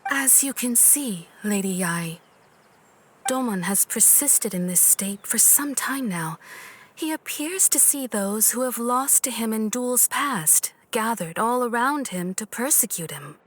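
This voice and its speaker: A woman playing a composed, courteous shrine maiden in a video game, speaking formally and deliberately. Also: a little high, lively intonation, fairly bright tone, a little hiss or hum. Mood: grave.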